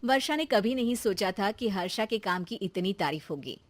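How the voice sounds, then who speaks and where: A woman's voice: mid-range voice, expressive, normal speed, dry recording. A woman reading a scripted story as its narrator.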